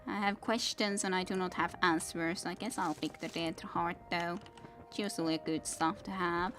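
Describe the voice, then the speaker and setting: A woman's voice: fairly low pitch, steady tone, normal speed, a bit bright, soft background music. A woman in her thirties from Finland, a native Finnish speaker with a Finnish accent, chatting casually and rambling while streaming a game, with many restarts. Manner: rambling, reads chat aloud, many restarts. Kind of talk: casual gameplay stream chat.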